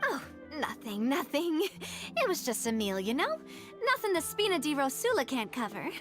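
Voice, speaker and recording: A woman's voice: sits high, very animated, normal speed, a bit bright. A woman voicing a young, generous female leader in a video game, breezy and putting on a brave face. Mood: breezy, dismissive. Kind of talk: animated video game voice acting. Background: music.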